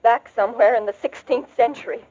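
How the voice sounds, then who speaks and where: A woman's voice: a little high, a little fast, mellow, slightly rough. A woman playing a worried, refined mother in a 1940s radio drama, speaking earnestly and hesitantly. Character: worried, genteel middle-aged mother hiring a detective. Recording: dull old radio recording.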